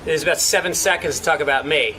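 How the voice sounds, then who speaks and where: A man's voice: a little high, fast. A man, a radio show guest, complaining in an aggrieved, sarcastic comic way. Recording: clear background noise.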